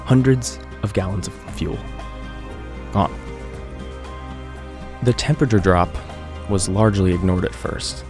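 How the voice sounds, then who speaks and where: A man playing an earnest island radio host, narrating an eerie mystery in a measured, conversational podcast style. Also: low-pitched, over music. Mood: grave, intrigued. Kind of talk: scripted audio drama narration, confessional podcast.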